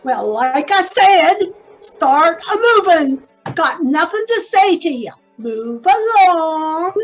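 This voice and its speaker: A woman playing a surly, hostile carnival hand, curt with drawn-out words, in old-time radio style. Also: extremely high pitch, sing-song, soft background music, exaggerated voice.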